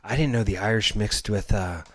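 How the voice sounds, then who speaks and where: A man co-hosting a podcast in casual chat, with a joking, deadpan teasing manner. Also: lowish voice, normal speed, no room sound, studio quality. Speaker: podcast co-host. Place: indoor room shared with co-host.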